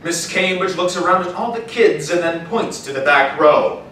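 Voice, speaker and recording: A man narrating a scripted story in an animated, storytelling style at a live panel. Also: a little high, moderate pace. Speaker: narrator of a live audio drama table read. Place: large indoor panel room at a convention. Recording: echoey convention hall, distant mic, faint noise.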